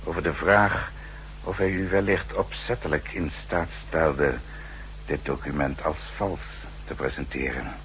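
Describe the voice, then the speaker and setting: Deep-voiced, deferential manservant. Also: male voice, steady tone, fairly bright tone, low-quality recording. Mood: polite, menacing. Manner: measured, deferential, precise. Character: formal male manservant, polite and quietly menacing secret agent. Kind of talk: 1960s Dutch radio drama acting. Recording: old radio recording with faint hum.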